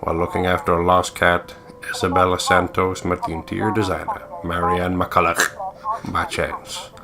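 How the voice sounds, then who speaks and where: A man's voice: deep voice, level tone, moderate pace, a crowd in the background. A man co-hosting a let's play, reading game text aloud in a playful, theatrical way with sweary asides.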